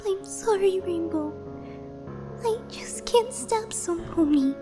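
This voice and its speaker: A woman voicing a timid, gentle young female pony, hesitant and pleading in a dramatized fan fiction. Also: very high-pitched, lively intonation, slow pace, full character voice. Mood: anguished pleading. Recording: music behind the voice.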